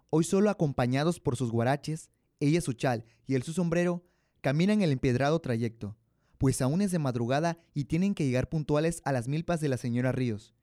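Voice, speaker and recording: A man's voice: medium pitch, dark tone, no room sound, clean studio sound. A young man with a Mexican accent presenting and narrating a scripted radio drama. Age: young adult.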